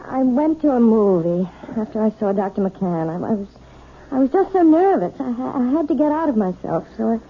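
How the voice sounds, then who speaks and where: A woman's voice: fairly high pitch, very animated, a little fast, narrow, tinny audio, slight background noise. A woman playing a timid, nervous, apologetic wife in 1970s radio drama style, hesitant and stammering. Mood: anxious, apologetic. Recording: thin, band-limited old radio broadcast.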